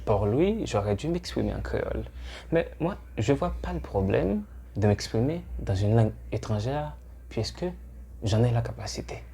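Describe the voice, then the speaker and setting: A man's voice: mid-range voice, animated, normal speed, gravelly, mellow, a little hiss or hum. A Haitian man whose first language is Haitian Creole, hosting his channel with an earnest, urging monologue full of hesitations. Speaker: channel host. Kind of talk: scripted monologue to camera.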